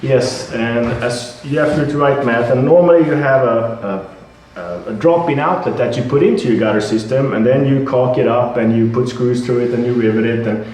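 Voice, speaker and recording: A man with a Swedish accent walks through how a product works, halting often with fillers and repeated words. His voice sits at an ordinary pitch. The recording is very echoey, with a faint noise underneath. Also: darkish tone. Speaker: product representative. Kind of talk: explaining and demonstrating a product. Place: building site at a house.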